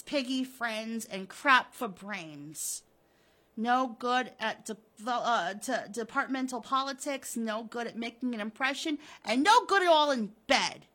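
A woman voicing a drunken, sneering, vitriolic wife from a horror comic, with mocking, gleeful jabs and shrill outbursts. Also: a bit bright. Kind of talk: dramatic comic-book reading.

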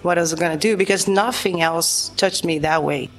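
A woman's voice: fairly low pitch, moderate pace, faint music behind. Chatty Brazilian-born woman musician. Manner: chatty, full of fillers. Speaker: guest musician. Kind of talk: casual interview chat.

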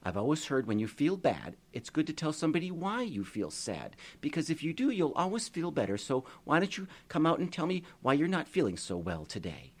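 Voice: mid-range voice, very animated, a little fast, few pauses, voice pushed up. Brief: teller as a naive young man, earnest and plainspoken. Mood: gentle. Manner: earnest, wide-eyed, plainspoken. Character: George, naive good-hearted young man.

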